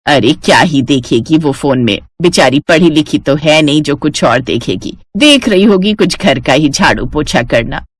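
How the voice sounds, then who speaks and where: A woman's voice: sits low, animated, moderate pace, few pauses, slightly rough, no room sound, clean studio sound, voice pushed down. A woman voicing an older mother-in-law in a family story, doting and indulgent with a fawning manner. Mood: dismissive.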